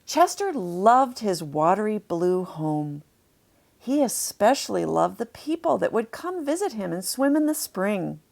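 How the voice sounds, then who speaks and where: A woman narrating a children's storybook, deliberately explaining things to young listeners as she reads. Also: mid-range voice, sing-song, vocal fry, a little mellow.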